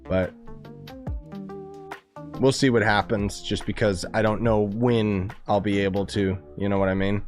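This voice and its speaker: A man's voice: lowish voice, fairly even intonation, a little slow, long pauses, a little mellow, soft background music. A man live streaming a game with casual, rambling, slangy commentary, his voice a bit worn from coughing. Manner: informal, rambling, gamer slang. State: losing voice, coughing.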